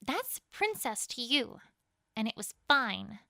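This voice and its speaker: A woman playing a proud, conflicted pony queen, telling her story in first person in a dramatic, expressive fan fiction reading. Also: fairly high pitch, slow pace, gravelly, no room sound, studio quality, exaggerated voice. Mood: defiant, alarmed.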